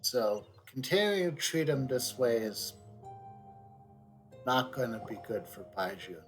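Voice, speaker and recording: Casual male streamer, unhurried and halting, lively swings in pitch, faint music behind. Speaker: game streamer. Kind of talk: casual gameplay commentary, reading along.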